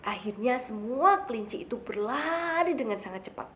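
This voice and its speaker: High-voiced young woman storyteller. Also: animated, normal speed. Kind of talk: expressive children's storytelling.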